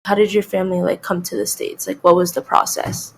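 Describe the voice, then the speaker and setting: A teenage girl runs a student podcast, asking short, easy questions in a middle-pitched voice. Her tone has a gravelly fry at its base. A faint hiss runs under the recording. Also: sing-song, brisk, low-quality recording. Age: teens. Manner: short friendly prompts. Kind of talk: casual interview questions.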